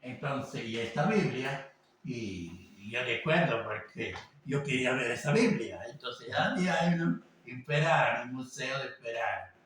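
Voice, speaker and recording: A man teaching an informal class, talking haltingly with many fillers and tag questions. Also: medium pitch, lively intonation, a bit bright. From Venezuela. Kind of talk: informal lecture. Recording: echoey room, voice from a distance, faint background noise.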